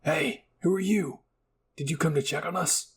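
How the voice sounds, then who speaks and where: A man's voice: on the high side, normal speed, slightly rough. A man voicing a teasing, level-headed male soldier in an amateur anime roleplay. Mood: calling out.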